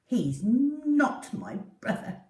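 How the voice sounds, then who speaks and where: A woman's voice: a little high, very animated, very slow, dark tone, pitched above the performer's own voice, heavily acted. A woman voicing a cross, jealous little pirate dog in a storybook, with emphatic delivery. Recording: echoey room, distant from the mic.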